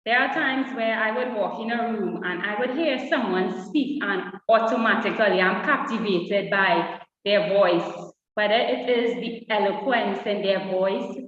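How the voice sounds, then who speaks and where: A woman's voice: fairly high pitch, a bit bright. Woman, workshop co-presenter, asks the audience questions, echoey room, distant mic. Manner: engages the audience with direct questions. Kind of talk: interactive public speaking workshop. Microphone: online session audio. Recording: dull distant echoey hall sound.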